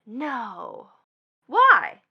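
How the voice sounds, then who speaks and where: An actress playing a mocking, bitter young stepdaughter in a stage drama, with a taunting, sardonic delivery. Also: female voice, sits high, huge pitch swings, extremely slow, breathy edge, lo-fi sound, heavily acted. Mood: wry, reminiscing. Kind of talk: theatrical stage drama acting.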